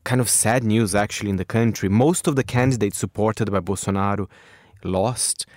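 A man from Brazil, speaking as a radio correspondent in a halting way full of restarts and you-knows. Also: lowish voice, a little mellow, no room sound, clean studio sound. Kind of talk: conversational radio commentary.

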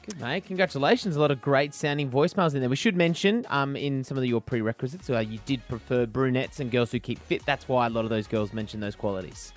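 An Australian man hosting a radio show with lively, chatty banter. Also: medium pitch, fast talker, few pauses, soft background music. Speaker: breakfast radio host.

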